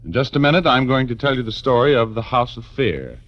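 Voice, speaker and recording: A man's voice: medium pitch, animated, a little fast, highs cut off. An American man playing a wry, easygoing private detective, narrating in breezy 1940s radio drama style with folksy hesitations. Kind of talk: 1940s radio acting, first-person narration. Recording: vintage radio broadcast, faint music.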